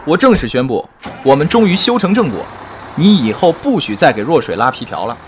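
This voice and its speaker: A man's voice: on the high side, sing-song, a little fast, a little hiss or hum. A man in an amateur audio drama playing a devoted, earnest young man in love. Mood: playful.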